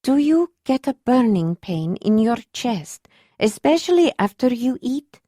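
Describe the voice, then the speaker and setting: A woman voicing a calm foreign healer with an unusual accent, asking careful, gentle questions. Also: on the high side, a little slow, no room sound, clean studio sound, pitched above the performer's own voice. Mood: probing. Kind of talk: audiobook character voice.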